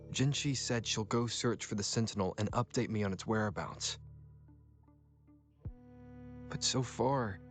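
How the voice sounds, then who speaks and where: A man voicing a calm, earnest young hero in a video game, speaking in a measured, reflective way. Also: mid-range voice, lightly acted.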